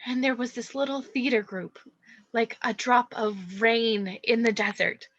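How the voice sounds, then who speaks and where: A woman's voice: fairly high pitch, steady tone, a little slow, fairly bright tone, muffled recording. A woman playing a modest, earnest, stage-struck young woman in a radio play. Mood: wistful. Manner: modest, hesitant, polite.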